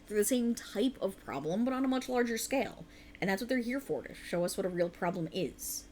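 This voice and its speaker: Girl doing a sleepy writer gf, asmr rp, rambly and earnest, very expressive pitch, bit of background noise. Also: a little fast, natural voice. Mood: earnest, defensive. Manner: earnest, rambling, playfully defensive. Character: tired, rambling, passionate young woman writer, teasing girlfriend. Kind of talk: ASMR girlfriend roleplay, intimate conversational acting.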